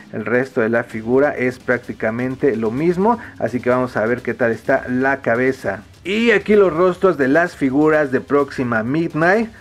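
A man with a Mexican accent hosting a casual toy review, talking in an easygoing way while handling figures. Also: medium pitch. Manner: easygoing, talks while handling toys on camera.

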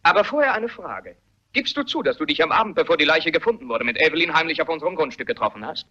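A sardonic man who needles his relatives in a radio mystery. His voice is fairly high, sharp and rough, with mocking insinuation. The sound is a little dull. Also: animated, fast, exaggerated voice. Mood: smug, needling. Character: sardonic, provoking male member of a wealthy family. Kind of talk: German radio mystery play acting. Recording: muffled radio play recording.

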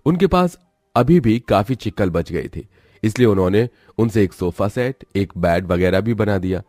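A man's voice: on the low side, animated, moderate pace, darkish tone, no room sound. A man narrating a scripted moral story as a storyteller.

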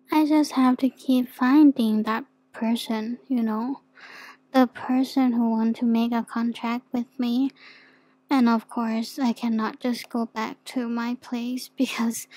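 Woman playing a soft, slow-talking naive demon girl. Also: on the high side, dry recording. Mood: shy, insecure. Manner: hesitant, innocent, gently questioning. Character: soft, naive, insecure young female demon. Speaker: ASMR roleplay performer. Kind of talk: soft ASMR roleplay.

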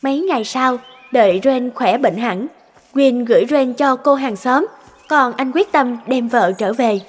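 High-voiced woman narrating a story. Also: a little slow, long reverb tail. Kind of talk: scripted cartoon story narration.

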